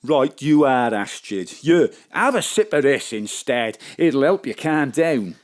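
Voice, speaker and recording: A man's voice: mid-range voice, expressive, measured pace, lightly acted. An actor playing a dreamy, awestruck male drinking companion in a comic audio drama.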